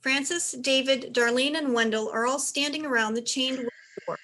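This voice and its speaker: A woman's voice: high voice, fairly even intonation, normal speed, sharp tone, low-quality recording. A woman reading stage directions as narrator, in scripted narration for a play.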